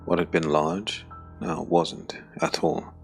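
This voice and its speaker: Male narrator for dark fantasy: extremely low, creaky voice, measured dramatic phrasing over prominent music. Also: little pitch movement. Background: background music.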